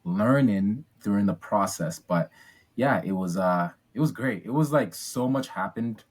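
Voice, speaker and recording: A man's voice: on the low side, expressive, measured pace, slightly rough. A man in his twenties from Ghana, telling his story as a podcast guest in a chatty, upbeat way with many ums and restarts. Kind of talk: casual interview storytelling.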